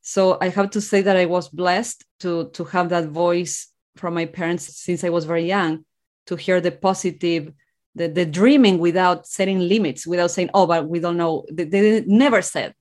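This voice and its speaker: Lower-pitched Argentine-accented woman. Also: steady tone, normal speed, a little mellow. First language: Spanish. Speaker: podcast guest. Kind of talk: conversational personal storytelling.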